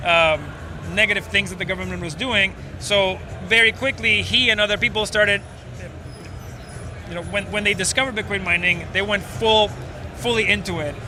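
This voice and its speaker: A man's voice: sits high, fairly even intonation, moderate pace, noisy background. A man from Venezuela, a startup co-founder, explaining at length in a casual interview with many fillers. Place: outdoors at a busy conference.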